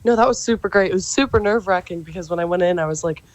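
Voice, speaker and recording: A woman's voice: lowish voice, rapid-fire. A young adult woman, a musician, answering radio interview questions in a friendly, upbeat way. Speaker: musician guest.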